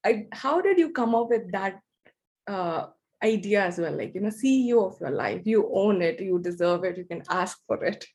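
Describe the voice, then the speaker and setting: A woman's voice: mid-range voice, lively intonation, moderate pace, darkish tone. A woman chatting effusively and casually as a podcast host in an interview.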